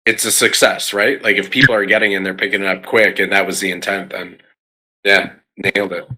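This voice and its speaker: A man's voice: deep voice, little pitch movement, fairly quick, sharp tone, low-quality recording. A man hosting a casual chat, talking informally and often checking for agreement. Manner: informal, seeks agreement with frequent "right?".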